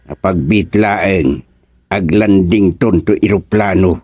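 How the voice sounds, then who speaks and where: An actor with an Ilocano accent playing an excitable older father-in-law in a radio comedy drama. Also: sits low, slow pace. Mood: sleepy banter. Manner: animated, exclaiming.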